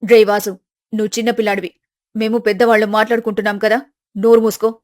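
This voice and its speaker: A woman's voice: mid-range voice, level tone, fast talker, a little mellow, no echo, studio quality, close to an everyday voice. A woman voicing a worried, flustered middle-aged mother in a family story. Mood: scolding.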